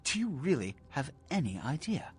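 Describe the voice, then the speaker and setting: An actor playing an imperious, calculating vampire prince in a video game, with a formal, pompous, commanding manner. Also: male voice, on the high side, very animated, moderate pace, breathy edge, soft background music, heavily acted. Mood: stern, troubled. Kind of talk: theatrical video game voice acting.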